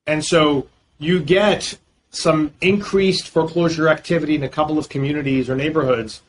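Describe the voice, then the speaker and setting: A man's voice: fairly high pitch, fairly even intonation, moderate pace, rough recording. Male guest, lawyer, explains at length, slight noise behind. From Boston, US. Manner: fluent, talks in long explanatory runs with frequent fillers. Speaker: guest, real estate lawyer. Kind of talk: podcast interview.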